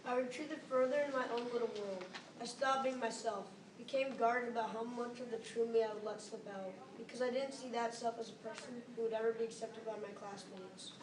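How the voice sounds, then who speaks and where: A woman's voice: a little high, steady tone, fairly quick, hardly any pauses. A young teenage girl performing scripted lines in a student readers theater. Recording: echoey room, distant mic, background noise.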